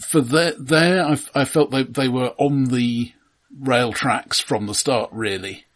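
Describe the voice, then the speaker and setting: A man co-hosting a film podcast, explaining his points in a measured, analytical way during casual discussion. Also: mid-range voice, a bit bright.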